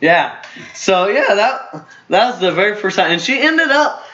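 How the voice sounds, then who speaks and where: A young man in his twenties tells a rambling story, restarting and correcting himself as he goes. His voice sits a little high. The recording is roomy and dull, like a cheap home setup. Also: sing-song, unhurried, sharp tone. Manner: rambling, full of fillers and self-corrections. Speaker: storyteller host. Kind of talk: casual storytelling chat.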